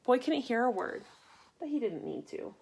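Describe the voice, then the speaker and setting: A woman reading a picture book aloud for children in an expressive, deliberate way, acting out the story. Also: fairly high pitch, creaky, a little hiss or hum. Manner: animated, deliberate, acts out sound effects. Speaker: storybook reader.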